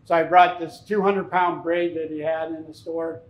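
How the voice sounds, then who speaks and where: A man's voice: a little high, level tone, moderate pace, dull audio. A man in his fifties giving an informal, plain-spoken seminar talk as a fishing captain, telling anecdotes. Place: tackle shop, indoors, speaking to an audience. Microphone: lapel mic. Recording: echoey shop room.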